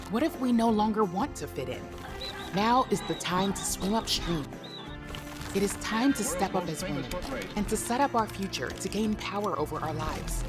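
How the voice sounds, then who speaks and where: A woman narrates a dramatic, rousing promo in a lowish voice. Clear music plays under her.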